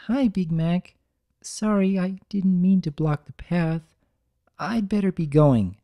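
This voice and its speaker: A meek young female pegasus voiced by a narrator for a cartoon fan story. She is pitched well above the narration. She sounds kindly but nervous and apologetic, with a halting way of talking. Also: mellow. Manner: stammering, apologetic.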